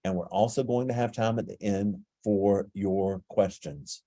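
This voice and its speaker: Guy with a blocked-up nasal voice walking folks through a webinar, lowish and mellow, dry sound. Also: a little slow, no echo. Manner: explanatory, steady. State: stuffed up, nasal. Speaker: webinar presenter. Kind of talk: webinar presentation.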